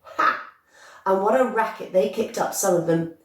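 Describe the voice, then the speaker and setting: A woman voicing a brash, boastful, bossy young girl in a storybook, with loud, emphatic, excitable chatter. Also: medium pitch, normal speed, natural voice. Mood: bragging, excited. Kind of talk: storybook character voice. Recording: echoey room, distant microphone.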